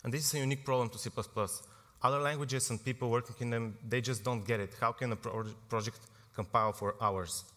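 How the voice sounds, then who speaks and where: A man's voice: mid-range voice, fairly even intonation, fast talker, bright, forceful tone. A young man from Bulgaria giving a technical conference talk, informal, with frequent fillers and self-corrections. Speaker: conference speaker. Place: large conference hall. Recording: long hall reverb.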